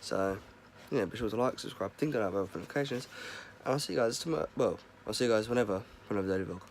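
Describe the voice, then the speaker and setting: Hoarse young man, low-ish voice, chatty vlogger; loud noise behind. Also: quick pace. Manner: rambling, chatty, filler-heavy. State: hoarse with a head cold. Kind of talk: casual vlog chat.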